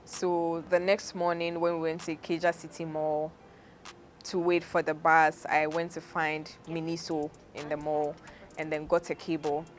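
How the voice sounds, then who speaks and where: A young woman with a Ghanaian accent, hosting a podcast and telling a personal story in a relaxed way, laughing often as she goes. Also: sits low, moderate pace, a little hiss or hum. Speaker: podcast host. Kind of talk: casual storytelling.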